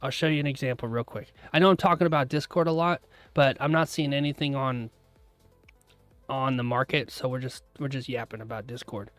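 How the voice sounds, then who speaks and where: A man's voice: medium pitch, brisk, fairly bright tone, no echo, faint music behind. A man hosting a live trading stream, chatting casually with his viewers, laughing often and rambling through asides. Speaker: trading livestream host.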